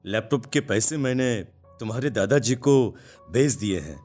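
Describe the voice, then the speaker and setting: A man playing a gentle, dutiful father with a reassuring manner in a cartoon story. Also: medium pitch, expressive, moderate pace, faint music behind, close to an everyday voice.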